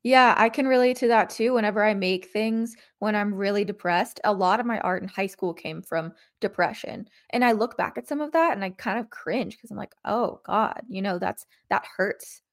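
A woman's voice: mid-range voice, normal speed, few pauses. A woman in her twenties co-hosting a podcast, speaking earnestly and openly in casual conversation. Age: 20s. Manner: earnest, open about feelings. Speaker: podcast co-host.

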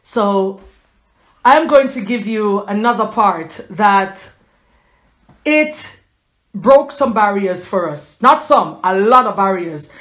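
A woman's voice: mid-range voice, expressive, slow pace, a bit bright. A woman in her forties giving a fervent, chatty inspirational faith talk to her viewers, with a preachy edge and frequent restarts. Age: 40s.

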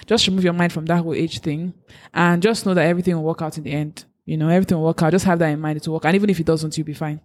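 A woman's voice: sits low, steady tone, very fast, a little mellow. A woman from Africa in a casual chat, giving earnest, faith-driven encouragement. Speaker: co-host giving advice.

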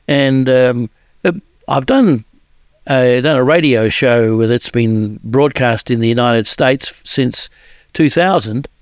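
A man's voice: medium pitch, no room sound, studio quality. A man hosting a radio music countdown, chatting casually with lots of fillers and restarts. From New Zealand. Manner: rambling, halting with many fillers and restarts.

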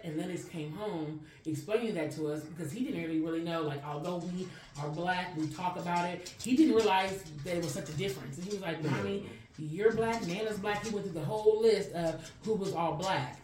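A woman's voice: on the low side, a little mellow. A woman co-hosting a podcast, talking earnestly and reflectively in long winding sentences. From Chicago. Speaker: podcast co-host. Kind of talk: casual podcast conversation. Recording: roomy and distant from the mic, faint noise.

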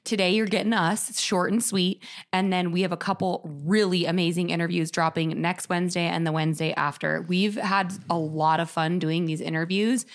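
Female podcast co-host, slightly low voice, bubbly and relaxed. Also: a little fast, hardly any pauses. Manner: bubbly, relaxed, with many fillers. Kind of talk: casual chat.

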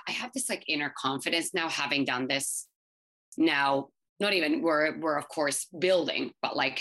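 A woman in her thirties, English not her first language, giving quick, chatty interview answers full of fillers and restarts. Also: deep voice, bright, forceful tone. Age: 30s. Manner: fast, filler-heavy, many restarts. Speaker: startup founder.

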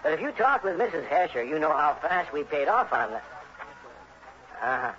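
A man's voice: a little high, big pitch swings, normal speed, fairly bright tone. An actor playing an eager, glib young insurance salesman in a 1960s radio drama, on a muffled, noisy old recording. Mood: chipper, obliging. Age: young adult.

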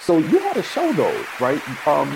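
A man's voice: a little high, wildly swooping pitch, normal speed, vocal fry, background noise. A man hosting a casual interview show, playful and teasing with his guest. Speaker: host.

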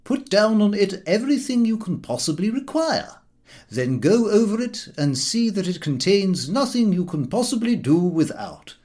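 Man putting on a high-pitched bossy old uncle. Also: very animated, normal speed, darkish tone. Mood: brisk, instructive. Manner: emphatic, step-by-step instructing in short commands. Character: methodical, bossy old uncle dictating packing advice. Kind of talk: audiobook character voice.

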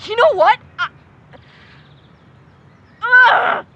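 A woman's voice: very high voice, animated, extremely slow, muffled recording, background noise, full character voice. An actress playing a devout, defensive young woman in an audio drama, earnest, frustrated and sweary. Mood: angry, defensive. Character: devout, defensive young woman, grieving her missing friend. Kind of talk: naturalistic audio drama acting.

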